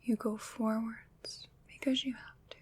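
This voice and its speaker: Woman doing a kind soothing witch for asmr roleplay, all breathy whispers, really slow n deliberate. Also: fairly high pitch, little pitch movement, a little mellow. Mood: tender reassurance. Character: gentle, consoling witch with healing magic.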